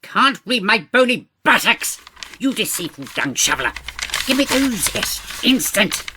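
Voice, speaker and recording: An actor playing a pompous, irritable and scheming court lord in a comedy audio drama, with grandiose, haughty diction. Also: male voice, high-pitched, sing-song, unhurried, noisy background. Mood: seething.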